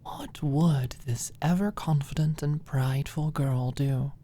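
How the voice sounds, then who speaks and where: A woman with an exceptionally low voice narrates a story at a slow pace. Her delivery is scripted and soft in ASMR style, often sinking into a hush as phrases end. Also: fairly even intonation, a little hiss or hum. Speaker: audiobook narrator.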